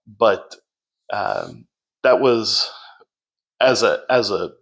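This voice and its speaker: A man telling his story as a podcast guest in a loud, projecting voice, unhurried, with many fillers and restarts. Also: lowish voice, sing-song, vocal fry. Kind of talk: casual storytelling.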